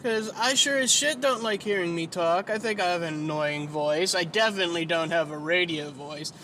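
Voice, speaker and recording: A man's voice: a little high, normal speed, bright, forceful tone, slight background noise. A man hosting a casual solo podcast, rambling and self-deprecating, with a whiny, nasal voice and free swearing. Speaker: podcast host.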